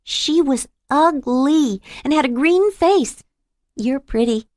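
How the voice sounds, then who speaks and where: A woman's voice: sits high, lively intonation, slow, dry recording, studio quality, pitched above the performer's own voice. A woman narrator voicing a small boy in a Halloween costume who speaks in an earnest, chirpy way.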